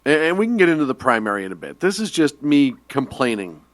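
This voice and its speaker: A man's voice: medium pitch, sing-song, fast, no echo. A man co-hosting a radio talk show, teasing his partner and laughing often through political banter.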